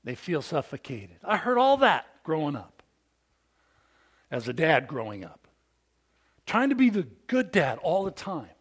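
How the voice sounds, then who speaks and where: Animated preacher man with a big voice. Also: on the high side, unhurried, long pauses, husky. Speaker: pastor. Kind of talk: sermon.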